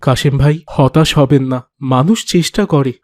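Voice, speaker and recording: A man voicing a kind father who gently reassures others in a cartoon. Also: on the high side, moderate pace, close to an everyday voice. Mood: reassuring.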